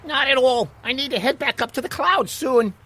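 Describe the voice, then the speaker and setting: A man's voice: very high voice, moderate pace, bright, forceful tone, heavily acted. A man voicing a small, friendly, earnest water molecule in a radio play, curious and a little weary.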